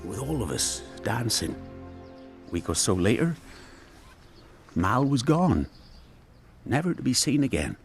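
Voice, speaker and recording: An actor playing an awkward, guarded older man with a halting, stammering delivery in a naturalistic radio drama. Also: medium pitch, sing-song, creaky, faint music behind. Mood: wistful, evasive.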